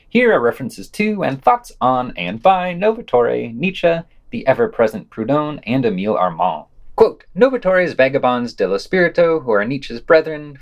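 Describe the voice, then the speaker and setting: Male podcast host, lively melodic range, scripted reading of summaries and quotations. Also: medium pitch, highs cut off. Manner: reads quotations aloud, announcing quote and unquote. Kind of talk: scripted narration of news summaries and quoted articles.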